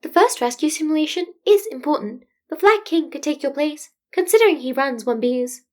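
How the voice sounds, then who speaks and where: A small, courteous animal headmaster, voiced by a woman, speaks in a lifted, high register. He is diplomatic and well-meaning, gently pressing his point like a concerned boss in an audiobook story. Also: expressive, moderate pace. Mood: coaxing. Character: polite, probing animal school principal, male, well-meaning. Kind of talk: fan fiction audiobook character voice.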